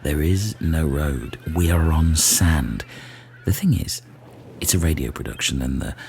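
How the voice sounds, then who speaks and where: An actor playing a pedantic, literal-minded castaway in a comedy radio play, speaking with slow, over-precise enunciation. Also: male voice, very deep voice, vocal fry, slight background noise, full character voice.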